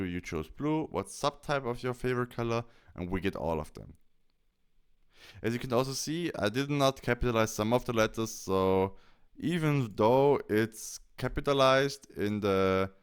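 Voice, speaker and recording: A man walks through a software tutorial step by step. His voice is fairly low and clear, with very lively, swinging intonation. He speaks as a presenter explaining what to do next.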